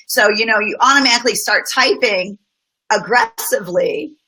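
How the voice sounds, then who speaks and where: A woman's voice: a little high, normal speed, bright, forceful tone, poor audio. A middle-aged woman talking through workplace experiences as a guest, thoughtful and full of personal examples. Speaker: guest speaker on business. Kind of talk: casual conversational interview.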